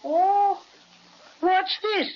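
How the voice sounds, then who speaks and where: High-pitched, plucky little bear. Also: female voice, very slow, full character voice. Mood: brisk, cheery. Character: small, plucky toy bear leading the children. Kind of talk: old-time children's radio serial acting. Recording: dull, noisy old radio recording.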